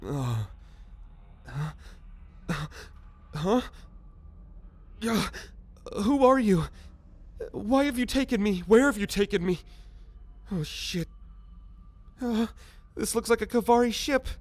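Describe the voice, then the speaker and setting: A man playing a jittery, earnest young alien captive, stammering and excitable, in an ASMR roleplay audio drama. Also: sits high, takes it slowly, long pauses, no room sound, full character voice. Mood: confused, alarmed, then defiant, scared.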